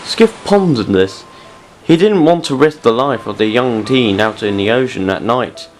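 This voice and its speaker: A man's voice: medium pitch, lively intonation, unhurried, a little mellow, soft background music, low-quality recording. A man reading scripted story narration as the narrator of an audio drama.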